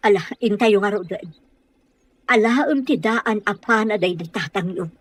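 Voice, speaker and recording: A woman's voice: medium pitch, a little slow, no room sound, lightly acted. An actress playing an anxious, protective village mother in an audio drama, speaking with worried urgency. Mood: worried, urgent.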